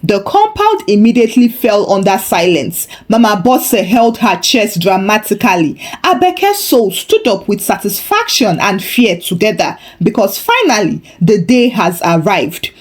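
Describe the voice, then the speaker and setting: Female folktale narrator, highly animated, theatrical and playful exaggeration. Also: a little slow, few pauses. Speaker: storyteller. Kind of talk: dramatic scripted folktale narration.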